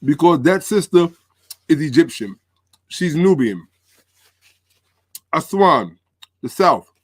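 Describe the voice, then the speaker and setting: A man's voice: fairly high pitch, big pitch swings, slow, slightly rough, no echo. A man hosting a livestream commentary, talking to viewers with emphatic, repeated phrases.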